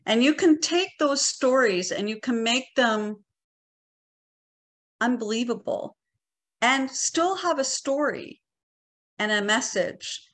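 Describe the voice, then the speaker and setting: Middle aged lady teaching on a video call, voice a bit high, into it, emphatic. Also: animated, slow pace, long pauses, a bit bright. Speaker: workshop presenter. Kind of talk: explaining in an online club session. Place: living room on a video call.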